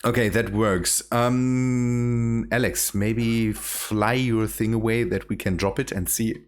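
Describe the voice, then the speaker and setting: A man's voice: lowish voice, steady tone, takes it slowly, smooth. A man hosting a casual game stream, laughing often and restarting his sentences. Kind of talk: casual gaming chat.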